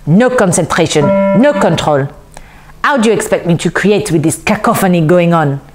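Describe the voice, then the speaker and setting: A woman voicing a rotund, pompous male fashion designer in a storybook, shrill, theatrical and imperious. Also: big pitch swings, measured pace, over loud music. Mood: exasperated, pompous.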